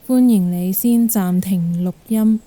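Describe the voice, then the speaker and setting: A woman with a Hong Kong Cantonese accent guiding a meditation in a gentle, calm manner. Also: medium pitch, dry recording. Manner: gentle, calm, unhurried instruction. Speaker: guided meditation instructor. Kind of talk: guided meditation narration.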